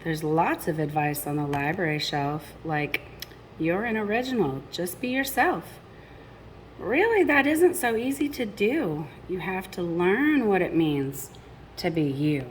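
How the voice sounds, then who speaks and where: A woman's voice: fairly low pitch, lively intonation, measured pace, a little hiss or hum, low-quality recording. A woman reading a picture book aloud to children expressively, addressing young listeners directly with chatty asides. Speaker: storybook reader.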